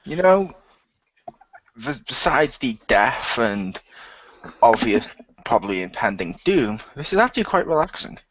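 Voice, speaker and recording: A man's voice: medium pitch, very animated, a little slow, fairly bright tone, dull audio, a little hiss or hum. A man playing a brash, sardonic ex-athlete hero in an improvised audio role-play. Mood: deadpan.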